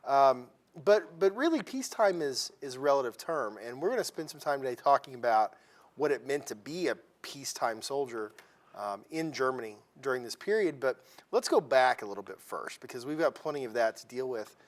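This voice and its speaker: A man's voice: mid-range voice, expressive, brisk. A man interviewing a guest in a chatty, friendly way, asking questions and adding asides. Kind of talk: oral history interview.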